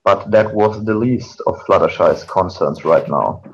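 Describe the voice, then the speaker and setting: A man's voice: sits low, measured pace, gravelly, husky. A man reading fan fiction aloud in an amateur dramatic narration. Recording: dull, noisy amateur mic.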